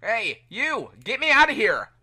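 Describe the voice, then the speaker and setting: A man voicing a brash young cartoon hedgehog hero, loud and exclaiming, in a fan fiction reading. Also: very high voice, huge pitch swings, measured pace, exaggerated voice. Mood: indignant.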